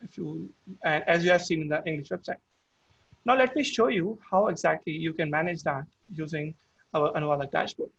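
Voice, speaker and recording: A man's voice: a little high, brisk, vocal fry, a bit bright, husky, highs cut off. A man with an Indian accent and Hindi as his first language, giving a webinar product demo, explaining step by step with frequent restarts. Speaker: product presenter.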